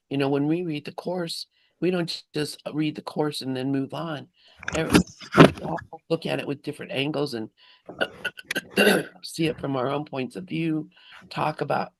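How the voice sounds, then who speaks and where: A man in a spiritual study group, reflecting informally and haltingly as he thinks aloud. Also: on the high side, creaky, dry recording, low-quality recording.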